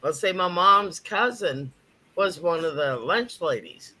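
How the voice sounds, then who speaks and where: A woman's voice: fairly low pitch, lively intonation, unhurried, pushed and bright. A woman in her fifties, a native Japanese speaker, telling stories in a casual chat. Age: 50s. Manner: storytelling, with a chatty pace.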